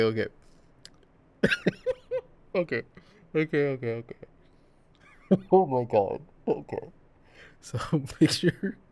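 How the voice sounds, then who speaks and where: A man acting a devoted, affectionate boyfriend in an intimate roleplay ASMR monologue, with a rambling, halting, tender way of talking. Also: medium pitch, darkish tone. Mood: giggly, amused. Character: devoted, silly, affectionate boyfriend, earnest and reassuring. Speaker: voice actor in an audio roleplay.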